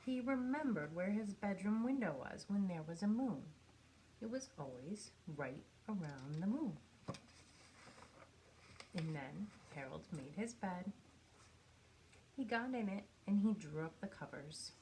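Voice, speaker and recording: A faint background noise sits under a woman's voice as she reads a picture book aloud. Her pitch is mid-range for a woman. She tells the story expressively, pausing for effect as a storyteller does for young listeners. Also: expressive, dark tone, low-quality recording. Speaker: storybook reader. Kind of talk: read-aloud children's picture book narration.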